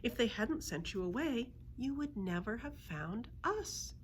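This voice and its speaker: Woman voicing a gentle, higher-pitched young girl. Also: big pitch swings, unhurried, slight background noise. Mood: bright, consoling. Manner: gentle, coaxing. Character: kind, thoughtful, caring young girl. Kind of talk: children's storybook character voice.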